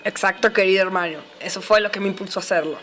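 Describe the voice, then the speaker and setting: Woman playing an earnest reformer monk, even-toned. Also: medium pitch, quick pace, music in the background, lightly acted. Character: earnest, pious male religious reformer monk. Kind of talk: amateur educational radio play.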